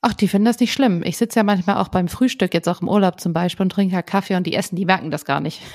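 A woman's voice: mid-range voice, fast talker, darkish tone, dry recording, studio quality. A woman co-hosting a casual podcast, chatting in a rambling way with fillers and frequent laughs. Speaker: podcast co-host.

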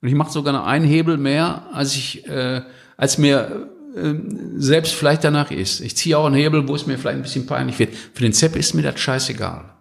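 A man's voice: mid-range voice, brisk, gravelly. A man from Westphalia speaking as an interview guest in a casual, blunt, colloquial way. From Westphalia, Germany. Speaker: podcast guest, book publisher.

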